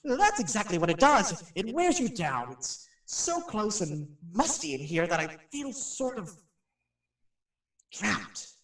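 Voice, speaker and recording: A man's voice: sits high, a bit bright, full character voice. An actor playing a nervous, chatty radio personality who rambles and stammers his way through a haunted house in a radio drama. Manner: jittery, stammering, rambling, self-interrupting. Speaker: radio host. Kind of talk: radio drama acting, rambling live monologue. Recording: roomy, distant microphone.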